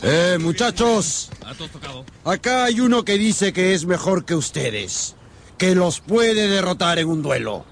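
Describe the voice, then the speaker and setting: An actor playing a sly, commanding older captain of musketeers in a radio drama, with a gravelly, husky voice. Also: male voice, high voice, animated, slow, exaggerated voice. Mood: teasing. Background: faint music.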